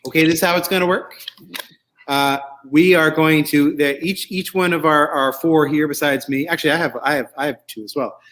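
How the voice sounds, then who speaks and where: A man with a slightly high voice hosts a choral music show. He chats casually and runs game-style banter, wandering into asides and interrupting his own sentences. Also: a little fast, fairly bright tone. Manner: chatty, digressive, self-interrupting.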